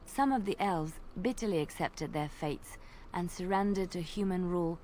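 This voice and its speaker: A woman voicing a gentle young woman bard in a video game, telling old tales in a soft, measured and earnest way over faint game ambience. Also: lowish voice, a little hiss or hum, close to an everyday voice, low-quality recording. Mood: storytelling. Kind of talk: storytelling in video game dialogue.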